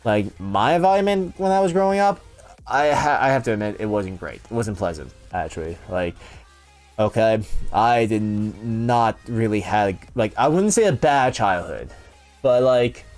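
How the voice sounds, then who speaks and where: A man's voice: on the low side, animated, normal speed, darkish tone, faint music behind. A young man chatting casually with viewers, rambling with lots of fillers and restarts. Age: young adult. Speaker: youtuber answering viewer questions. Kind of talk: casual chat.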